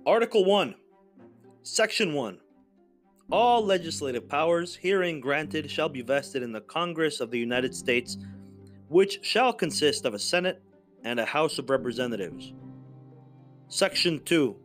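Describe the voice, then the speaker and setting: A man giving a formal, scripted reading as a podcast narrator, in a measured, deliberate manner. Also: fairly high pitch, expressive, fairly bright tone. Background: music.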